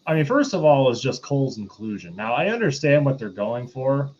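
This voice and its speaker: A man with a medium-pitched voice gives casual, analytical film criticism as a co-host. He builds his points haltingly, piece by piece. The sound is a little dull and lo-fi. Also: big pitch swings, darkish tone, husky. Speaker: podcast co-host. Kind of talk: casual movie critique discussion.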